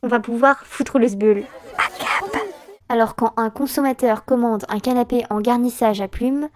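High-voiced, grumbling Mother Christmas, played by a woman. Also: steady tone, normal speed, natural voice. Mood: boastful, scheming. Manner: grumbling, sardonic, slangy. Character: Mother Christmas, fed-up sweary rebel housewife turned hacker. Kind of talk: comic audio fiction acting.

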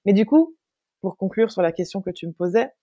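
A young woman from France, a guest talking in a casual interview, reflective and thoughtful, pausing often with fillers and restarting her sentences. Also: mid-range voice, expressive, mellow, no room sound, clean studio sound. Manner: reflective, many hesitations and restarts.

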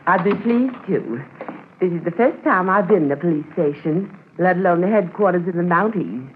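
A woman playing a garrulous, folksy, flattering cabin keeper in a 1950s radio drama, on an old radio recording. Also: fairly low pitch, normal speed, lightly acted. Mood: chatty, impressed. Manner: garrulous, colloquial. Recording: tinny, hissy 1950s radio transcription.

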